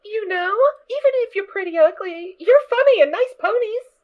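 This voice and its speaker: A woman's voice: extremely high pitch, animated, moderate pace, muffled recording. A woman voicing a cheerful, naive little pony foal in an amateur audio drama, bubbly and blunt. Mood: cheeky, friendly.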